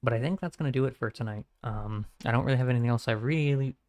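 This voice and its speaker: A man streaming games from home who talks in a flat, rambling way and often corrects himself. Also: medium pitch, fast, no echo. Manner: monotone, rambling, self-correcting. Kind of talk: casual stream sign-off chat.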